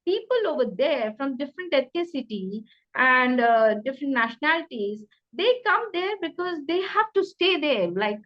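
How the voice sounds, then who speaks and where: A woman's voice: high voice, sing-song, normal speed, dull audio. A middle-aged woman with an Indian accent speaks conversationally as an interview guest, talkative and emphatic, often repeating phrases. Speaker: interview guest, career changer.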